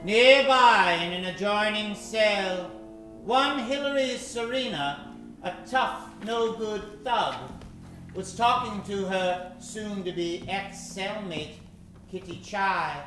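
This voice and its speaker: A man's voice: high voice, slow pace. A man narrating a scripted old-time radio drama in a theatrical, dramatic manner. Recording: echoey stage recording with music.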